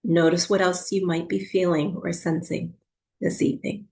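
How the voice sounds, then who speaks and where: A woman with a fairly low voice and a strong creak leads a guided meditation as a yoga teacher. Her delivery is soft and soothing, with unhurried phrases and long pauses that suit winding down for sleep. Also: level tone.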